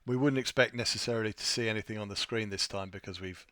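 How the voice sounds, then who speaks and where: A man presenting an unscripted software tutorial, thinking aloud with a hesitant delivery as he walks through his screen. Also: on the low side, fast, no room sound, clean studio sound. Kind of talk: unscripted screen-share walkthrough.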